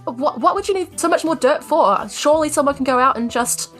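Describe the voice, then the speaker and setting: A woman plays a good-natured female adventurer in a tabletop game. She sounds wondering and playful, her voice a little high. Faint music runs underneath. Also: brisk, fairly bright tone. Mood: bemused.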